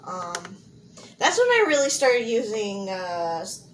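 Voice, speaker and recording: A woman's voice: medium pitch, big pitch swings, slow. A young American woman from Montana giving candid, informal answers as an interviewee, thinking aloud as she goes. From Montana, United States. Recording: clear background noise, amateur audio log.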